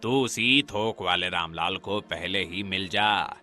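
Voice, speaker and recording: A man's voice: deep voice, slow, pushed and bright, soft background music, voice pushed down, full character voice. A man voicing an arrogant, scheming middle-aged village pandit in a cartoon, sneering and bossy. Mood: conspiratorial.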